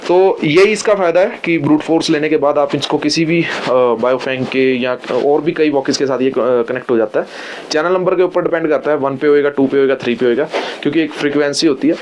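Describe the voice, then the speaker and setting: A man's voice: a little high, a little fast, darkish tone, a little hiss or hum. A man explaining things informally in a vlog chat, in a hands-on way, often checking that the listener follows. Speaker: walkie-talkie user explaining gear to the host.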